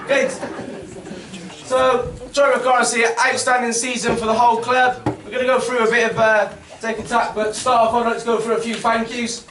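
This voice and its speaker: A man's voice: extremely high pitch, flat delivery, normal speed. A man with an English accent, a club captain giving an informal, joking speech at an awards dinner and teasing the crowd. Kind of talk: informal banter-filled speech. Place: large function room at a dinner. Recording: echoey room, far mic, background noise.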